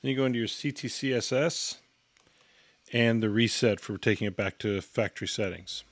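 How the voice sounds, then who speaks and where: Man, slightly deep voice, instructional demo, step-by-step, matter-of-fact, very dry sound. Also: normal speed, dry recording. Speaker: product reviewer and demonstrator. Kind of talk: instructional product walkthrough.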